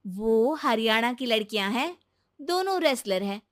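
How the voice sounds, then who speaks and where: A woman's voice: on the high side, lively intonation, a little slow, no room sound, studio quality. A woman voicing a spirited young daughter-in-law in a cartoon, talking earnestly and persuasively.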